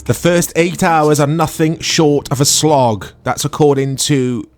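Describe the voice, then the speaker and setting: A man's voice: on the high side, a little slow, soft background music. A man giving casual, sarcastic gameplay commentary, chatting and laughing at himself as he plays. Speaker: let's-play commentator.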